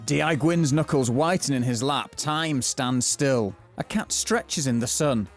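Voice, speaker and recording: A man narrating a mock-thriller audio drama from a script, telling it in a florid, deadpan way. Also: mid-range voice, measured pace, faint music behind, natural voice.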